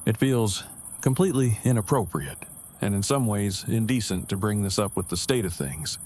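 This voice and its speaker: Guy playing an awkward earnest survivor dude, lowish voice, super wordy and formal, audio drama, some night noise behind. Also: lively intonation, normal speed, a little mellow, close to an everyday voice. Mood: awkward, careful. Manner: stiffly formal, long-winded, precise wording. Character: awkward, verbose, earnest male survivor and loyal friend. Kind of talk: fan audio drama acting.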